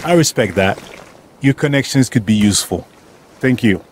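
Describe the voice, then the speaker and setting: An actor playing a courteous, pragmatic park official in a video game, polite and businesslike. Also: male voice, mid-range voice, expressive, normal speed, a little hiss or hum, lightly acted. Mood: courteous, reserved.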